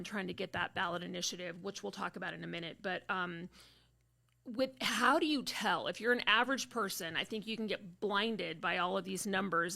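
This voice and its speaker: A woman's voice: medium pitch, creaky, sharp tone. A woman hosting a podcast, chatting casually and rambling a little, with restarts and fillers. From Arizona. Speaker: podcast host. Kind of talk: casual interview chat.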